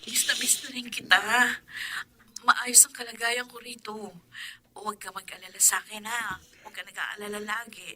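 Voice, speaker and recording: A woman's voice: mid-range voice, flat delivery, moderate pace, pauses often, sharp tone, slight background noise. An actress playing a loving, self-sacrificing mother working abroad as a maid, reassuring her husband over the phone. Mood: tender. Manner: soothing, reassuring. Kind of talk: radio drama acting.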